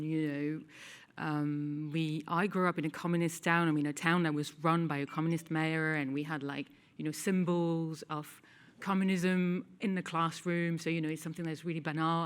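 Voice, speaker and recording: A woman in her thirties from France, with a French accent, speaking on a panel in a measured, thoughtful way. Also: low-pitched, clean tone. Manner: measured, thoughtful, lots of "you know". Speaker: journalist and lecturer. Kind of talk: panel discussion. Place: small event hall with audience. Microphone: table mic.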